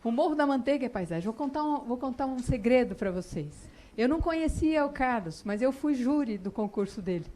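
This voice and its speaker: A woman speaking on a conference panel in a large auditorium, expressive and emphatic as she answers questions. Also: fairly high pitch, a little fast, slight background noise. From Brazil. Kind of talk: enthusiastic panel talk.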